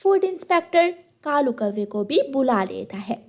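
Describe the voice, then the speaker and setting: A woman's voice: high-pitched, big pitch swings, normal speed. A woman narrating a scripted children's cartoon story as a storyteller.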